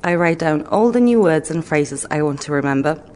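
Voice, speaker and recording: A woman from Poland with a lowish voice gives her tip plainly. A long reverb trails after her words. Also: animated, moderate pace, darkish tone. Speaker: learner giving a tip. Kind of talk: scripted textbook audio.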